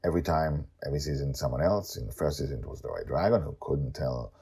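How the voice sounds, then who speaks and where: A man hosting a story podcast, narrating scripted fiction in a theatrical way with dramatic pauses and swoops. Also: rumbling low, lively intonation, vocal fry, mellow, breathy edge.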